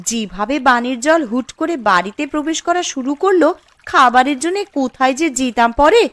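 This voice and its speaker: A woman's voice: sits high, lively intonation, brisk, a bit bright, dry recording. A woman voicing a caring, fretful younger village woman in a cartoon story, with an entreating manner. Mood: anxious.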